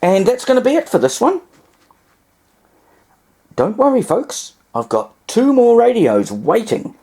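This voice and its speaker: A man's voice: extremely high pitch, wildly swooping pitch, a little slow, dark tone, husky. A man presenting a casual hands-on demonstration, explaining things in a rambling way with asides and alternative terms. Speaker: presenter demonstrating vintage radio testing.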